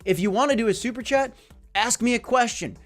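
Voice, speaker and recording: A man hosting a casual crypto live stream, chatty and hyped, calling out viewers by name, his voice going hoarse. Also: very high-pitched, brisk, faint music behind. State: losing his voice.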